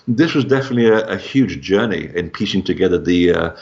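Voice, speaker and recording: A man's voice: deep voice, expressive, quick pace, darkish tone, lo-fi sound. A man speaking as a guest author in a casual, discursive interview about his own work. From born in Portugal.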